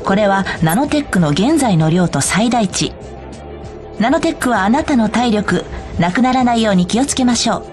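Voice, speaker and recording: A woman's voice: on the high side, animated, normal speed, music in the background, close to an everyday voice. A woman voicing a polite, cheerful corporate guide, reading scripted tutorial narration for a game. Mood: polite, bright. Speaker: tutorial announcer.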